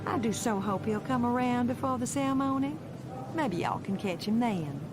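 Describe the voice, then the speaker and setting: High-voiced Southern belle played by an actress. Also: female voice, lively intonation, moderate pace, music in the background, natural voice. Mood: coy, gracious. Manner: mannered, polite drawl. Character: genteel, coy Southern belle bride.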